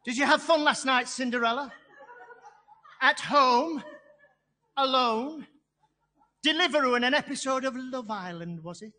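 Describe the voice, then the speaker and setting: A woman playing a mocking, spiteful stepsister in broad comic pantomime, with a sneering, drawn-out delivery. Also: sits high, pushed and bright.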